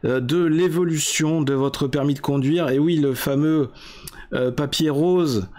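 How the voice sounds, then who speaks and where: A man's voice: a little high, unhurried, a little mellow. A man in his forties hosting a tech show, explaining casually with an ironic, joking tone and frequent hesitations. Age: 40s. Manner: chatty, ironic, lots of filler hesitations.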